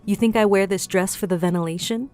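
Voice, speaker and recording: A woman's voice: mid-range voice, fast, a little mellow, lightly acted. A woman voicing a cheeky, flirtatious female goliath innkeeper in an audiobook, chatty and teasing, with a motherly streak. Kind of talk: audiobook character dialogue. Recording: music bed behind the voice.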